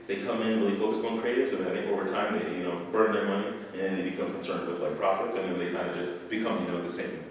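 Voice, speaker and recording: A man hosting a podcast interview, talking loosely and thoughtfully with many fillers, recorded at a hackathon venue. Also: deep voice. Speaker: podcast host. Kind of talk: casual interview chat. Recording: echoey hall from far off, noisy.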